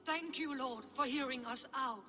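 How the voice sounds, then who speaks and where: A woman's voice: high voice, moderate pace, pushed and bright. A woman playing a polite, deferential Norse petitioner in a video game. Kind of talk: dramatic video game acting. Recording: long hall reverb.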